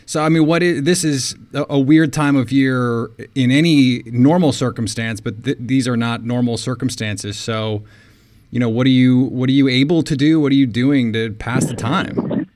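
Crisp mid-pitched male voice, American accent, casual sports interviewer, talkative, roundabout questioning. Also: normal speed, a little mellow. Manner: chatty, long meandering questions. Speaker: interviewer, sports show host.

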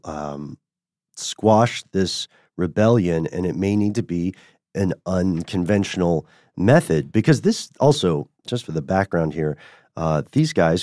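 A man co-hosting a podcast, telling a story in a casual, animated way and often checking in with "right?". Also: no room sound, studio quality. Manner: animated, rising pitch when excited, frequent "right?" check-ins. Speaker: podcast co-host.

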